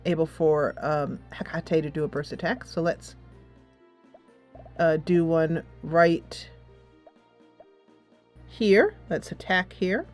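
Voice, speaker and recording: A woman's voice: sits low, takes it slowly, pauses often, soft background music. A woman gaming host giving casual commentary, thinking aloud as she plays a tactics game.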